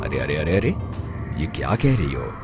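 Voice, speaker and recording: A man's voice: very deep voice, big pitch swings, moderate pace, music in the background. A man voicing an exasperated male ghost groom in a cartoon moral story.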